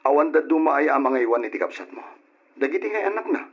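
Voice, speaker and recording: A man's voice: on the high side, sing-song, fast talker, lo-fi sound, faint music behind. A man acting a stern, exasperated family father burdened by money worries in an old Ilocano radio drama. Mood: bitter, reproachful.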